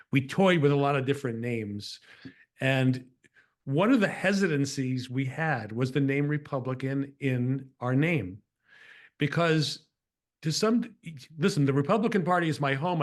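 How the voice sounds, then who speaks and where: A man hosting a political interview in a conversational way, earnest and opinionated as he shares his views. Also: mid-range voice. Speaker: show host.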